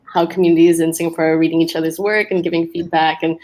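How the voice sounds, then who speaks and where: A woman's voice: low-pitched, level tone, fast, a little mellow, poor audio. A woman poet from Malaysia chatting casually on an online panel, hesitant and searching for words, laughing often. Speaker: poet guest.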